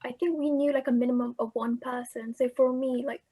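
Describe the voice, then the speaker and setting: A young woman student from England speaks over a video call, with a faint clicking in the background. Her voice is fairly high, and she talks casually with lots of likes. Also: steady tone, a little fast, dark tone, a little hiss or hum. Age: young adult.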